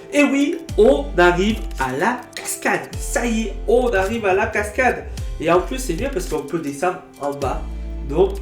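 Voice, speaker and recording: A man tells stories in a bright, animated way, his voice sitting a little high. He has a cold. He sounds roomy, with soft guitar music playing underneath. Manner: lively, animated storytelling with sound effects. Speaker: vlogger. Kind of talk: casual storytelling vlog.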